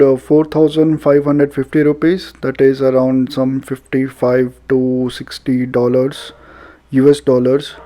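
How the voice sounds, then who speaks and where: A man with an Indian accent giving a casual, halting product demonstration as a radio reviewer. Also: medium pitch, dark tone. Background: radio music playing.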